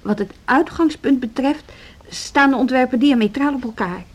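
Determined young deputy headmistress, high voice, insistent, radio drama. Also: female voice, moderate pace. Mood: firm, insistent. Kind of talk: Dutch social radio drama acting.

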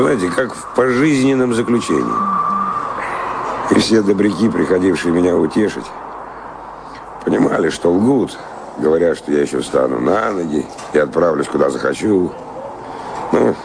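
Низкий скрипучий голос скитальца-романтика. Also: male voice, very deep voice, creaky, full character voice. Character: restless adventurer wanderer, world-weary yet defiant romantic. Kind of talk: radio play acting. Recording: noisy, hissy radio play recording.

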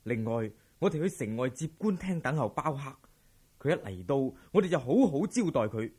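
A man's voice: medium pitch, lively intonation, normal speed, darkish tone, breathy edge. A man playing a wary, scheming corrupt official in a Cantonese audio drama, anxious and persuasive. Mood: placating, scheming. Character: cautious, scheming corrupt male grain official.

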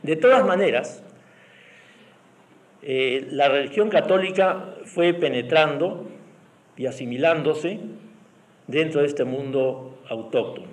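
A man with a slightly high voice explains things like a history professor. He builds his points in measured phrases, repeating words for emphasis. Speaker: historian and university professor, priest. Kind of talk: explanatory academic commentary.

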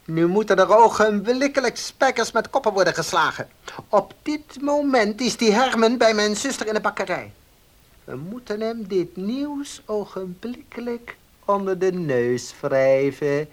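A man's voice: sits high, expressive, measured pace. An actor playing a pushy, indignant village baker in a radio play, insistent and bossy in his delivery. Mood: determined. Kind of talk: Dutch radio play acting.

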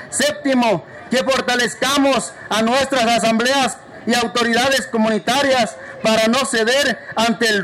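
A man with a strikingly high voice reads a formal statement aloud, point by point. His delivery is measured and declamatory, each item set off clearly. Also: fairly bright tone, rough recording. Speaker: reader of a forum declaration.

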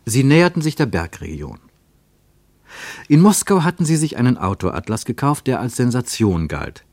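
A man narrating a radio play in a measured, descriptive, poetic manner. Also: medium pitch, very animated, darkish tone, no echo.

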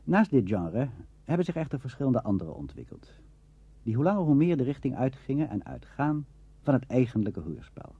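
A man presenting a scripted radio documentary in a formal, measured and expressive manner. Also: mid-range voice, slightly rough, dry recording, muffled recording.

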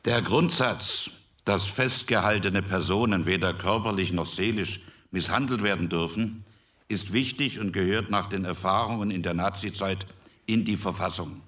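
Bright, forceful male statesman. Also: low voice, normal speed, recorded from a distance. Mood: firm objection. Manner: formal, emphatic, oratorical. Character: principled elder statesman arguing in a constitutional debate, aesthetically minded. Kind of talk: re-enacted committee debate.

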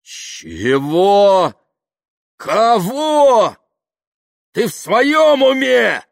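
A male reader plays a pious, wounded monastery novice in an audiobook story. He speaks with measured, theatrical humility that swells into indignant protest, his pitch swinging widely in a close, dry sound. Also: animated, no echo, natural voice. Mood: loud indignation.

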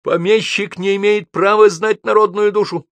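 A man's voice: sits high, steady tone, normal speed, fairly bright tone, dry recording, pitched above the performer's own voice. A man voicing a belligerent male writer who barks and bellows in a furious temper. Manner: barking, bellowing.

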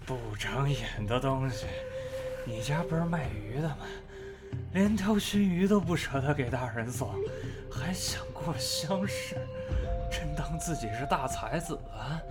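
A man's voice: medium pitch, expressive, a little slow, close to an everyday voice. A man playing a contemptuous bully in a period audio drama, spitting scorn and drawing out his taunts. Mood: scornful, mocking. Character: contemptuous male bully sneering at a poor scholar. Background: loud music.

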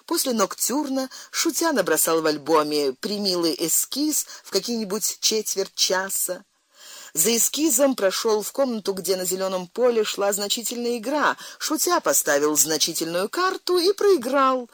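Audiobook narrator, expressive literary storytelling, medium pitch, very varied intonation. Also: normal speed, dry recording.

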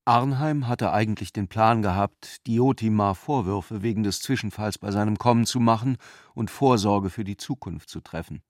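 A man's voice: on the low side, normal speed, dry recording, clean studio sound. A man reading literary scripted narration for a radio drama.

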